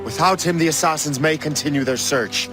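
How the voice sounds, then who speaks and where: An actor playing a guilt-laden, resolute adult assassin turned Templar in dramatic video game cutscenes. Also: male voice, on the high side, a little fast, over music, close to an everyday voice. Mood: firm pleading.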